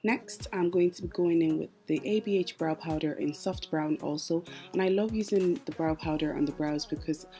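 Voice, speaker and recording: Young woman, low mellow voice, chatty makeup voice-over, soft music behind. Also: moderate pace, few pauses. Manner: chatty, explains each step. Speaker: makeup artist.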